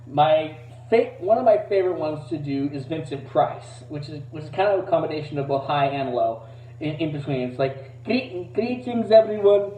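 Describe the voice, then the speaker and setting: A man's voice: moderate pace, dark tone, a little hiss or hum. A man giving a casual, rambling demo of voices as an amateur impressionist, hesitant and self-deprecating. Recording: roomy, distant, dull low-fidelity mic.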